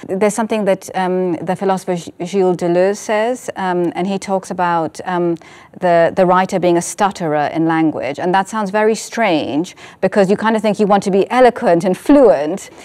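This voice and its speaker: A woman speaking as a writer and filmmaker in a reflective artist talk, searching thoughtfully and haltingly for her words. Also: fairly low pitch, a little mellow. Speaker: writer and essay filmmaker on a panel.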